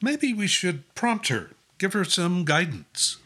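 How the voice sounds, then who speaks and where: Bright-voiced, diplomatic priest. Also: male voice, high voice, very animated, heavily acted. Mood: reassuring. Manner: gentle reproofs, euphemistic, measured wording. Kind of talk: naturalistic audio drama acting.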